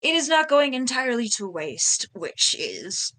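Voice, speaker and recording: A woman's voice: high-pitched, very animated, measured pace, bright, forceful tone, poor audio. A woman role-playing in conversation as the captain of a ship in a tabletop game. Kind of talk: in-character tabletop role-play chat.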